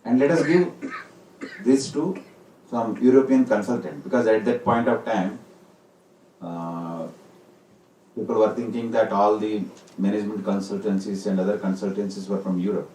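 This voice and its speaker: A man with an Indian accent giving a formal ceremonial speech as a senior executive, speaking deliberately in short, halting phrases. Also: lowish voice, warm tone. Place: corporate hall. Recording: echoey hall, distant mic, faint noise.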